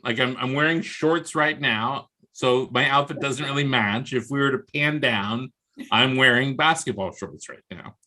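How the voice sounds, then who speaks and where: A man's voice: mid-range voice, a little slow, sharp tone. An American man speaking casually as a guest author in a video interview, with a wry, comically exaggerating and self-deprecating manner. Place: indoors on a video call. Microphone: video call.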